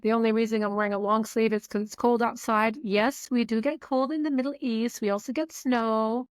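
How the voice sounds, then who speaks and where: Lively, higher-voiced woman in her fifties. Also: clear, resonant tone, dry recording, clean studio sound. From Saudi Arabia. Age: 50s. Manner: animated, candid, quick to laugh. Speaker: guest. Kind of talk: conversational interview.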